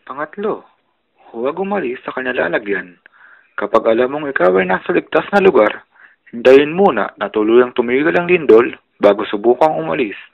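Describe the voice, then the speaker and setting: A man delivers a scripted safety announcement, instructive in tone. His mid-pitched voice sounds thin and narrow, like an old radio. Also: lively intonation, moderate pace, creaky. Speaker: public service announcer.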